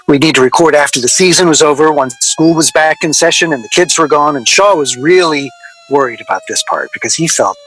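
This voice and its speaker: A man playing a wry, middle-aged record-label veteran in a radio drama, reminiscing to an interviewer in a halting, digressive way. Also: mid-range voice, pushed and bright, poor audio. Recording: location recording with clear background noise.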